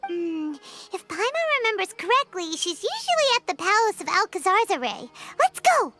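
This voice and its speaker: A woman voicing a tiny, childlike fairy companion in a video game, squeaky, perky and blunt. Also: sing-song, moderate pace, sharp tone, soft background music, heavily acted. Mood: eager. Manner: talks of self in third person, perky.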